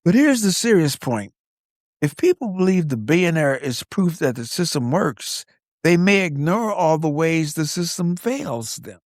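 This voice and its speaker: A man's voice: on the high side, very animated, measured pace, no room sound, studio quality. A man co-hosting a podcast, giving scripted, conversational commentary in short, wry statements. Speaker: podcast co-host.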